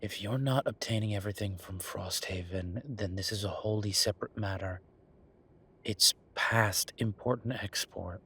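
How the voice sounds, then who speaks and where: A man playing an exasperated, firm werewolf bureaucrat in an audio roleplay, talking emphatically in a dry, husky voice. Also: fairly low pitch, normal speed, bright, forceful tone, full character voice. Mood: patient, insistent. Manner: exasperated, emphatic, repeating himself. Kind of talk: audio roleplay acting, one side of a phone call.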